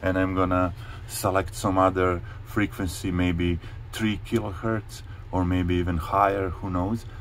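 Low-voiced man, halting casual tutorial, noisy recording. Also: flat delivery. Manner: halting, informal, repeats phrases. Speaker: hi-fi video host. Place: indoors in a room with audio gear. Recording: clearly noisy handheld home recording.

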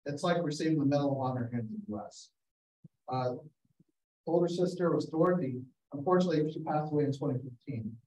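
A man's voice: fairly high pitch, fast talker, mellow, a little hiss or hum. A man giving a storytelling slideshow presentation over a video call, relating anecdotes and quoting people as he goes. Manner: anecdotal, acts out quotes. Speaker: guest speaker, photographer. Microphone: video call, away from mic. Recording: roomy, distant-mic video call sound.

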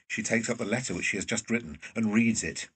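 A man's voice: on the low side, fast, a bit bright, breathy edge. A man reading scripted stage directions as the narrator of a drama.

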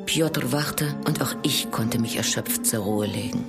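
An actress playing a grave, fearful young village woman in a horror radio drama, in a hushed, sorrowful manner. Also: rumbling low, measured pace. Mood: grave, haunted. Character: sorrowful young village woman recounting her family's doom. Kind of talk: German radio drama narration and dialogue. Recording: music behind.

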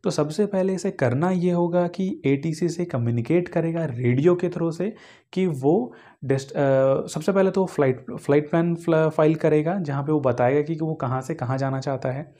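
Man in his twenties, Indian accent, higher-pitched, mellow tone, step-by-step tutorial delivery. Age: 20s. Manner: simple step-by-step explaining, mixing in English technical terms. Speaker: instructor. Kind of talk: explanatory tutorial on aviation. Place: indoor green-screen studio.

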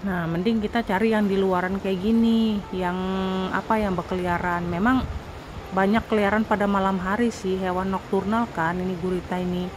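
A woman's voice: mid-range voice, steady tone, normal speed, background noise. A woman narrating her own foraging vlog as a voice-over, chatting with viewers as friends. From Indonesia. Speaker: vlog host. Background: background music.

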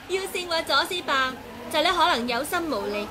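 A woman's voice: sits high, expressive, measured pace, noisy background. A cheeky young swordswoman. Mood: defiant. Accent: Hong Kong Cantonese. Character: bold, quick-witted young swordswoman. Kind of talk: 1980s radio drama acting. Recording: old radio broadcast with music and crowd behind.

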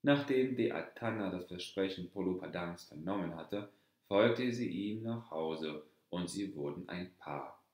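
A man reads a fairy tale in a deep, low voice, calm and measured. He takes his time, and the room around him rings with echo. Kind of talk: slow storybook narration.

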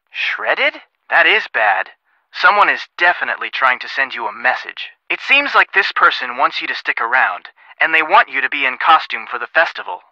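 A sensible young American detective on a call in a video game. His voice is fairly high and very bright, with animated, wry delivery. The sound is close and a little dull. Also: no echo, exaggerated voice. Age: young adult. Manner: wry, conversational. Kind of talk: video game phone dialogue.

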